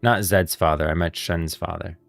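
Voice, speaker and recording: Male streamer, deep register, informal rambling commentary, dry close sound. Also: steady tone, moderate pace, vocal fry, fairly bright tone, dry recording, faint music behind. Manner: rambling, informal, thinking aloud. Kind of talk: casual livestream lore commentary.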